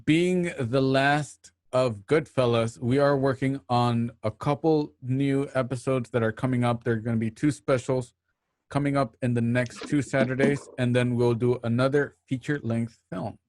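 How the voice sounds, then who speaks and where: A man's voice: mid-range voice, steady tone, normal speed, darkish tone. A man hosting an online radio drama show, casually giving thanks and announcements to listeners.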